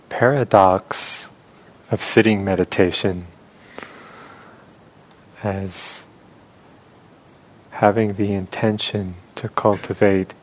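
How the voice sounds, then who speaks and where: A man leading a guided meditation as a teacher, speaking reflectively in short phrases with long, unhurried pauses. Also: on the low side, rough recording.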